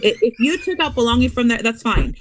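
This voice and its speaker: A woman's voice: a little high, expressive, no echo. An American woman speaking as a guest in a casual interview, earnest and emphatic about her children and her values. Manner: earnest, emphatic, speeds up and slows down to stress points.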